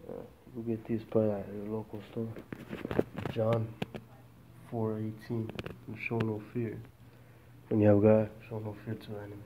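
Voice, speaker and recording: A man talking casually as he films a handheld vlog, repeating short phrases and giving shout-outs. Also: on the low side, monotone, moderate pace, long pauses. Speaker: vlogger. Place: church back rooms, indoors. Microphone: handheld phone. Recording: muffled noisy phone recording.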